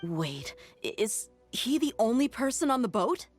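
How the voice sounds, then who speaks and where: A woman voicing a wary, capable young female mercenary in a video game, with a measured, decisive way of talking. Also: fairly high pitch, big pitch swings. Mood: startled, suspicious. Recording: background music under the dialogue.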